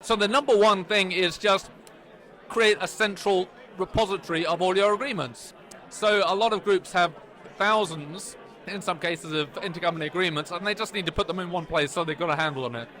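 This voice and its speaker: A man's voice: high voice, brisk, bright, forceful tone. A man giving informal expert advice in an interview. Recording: light crowd and street ambience.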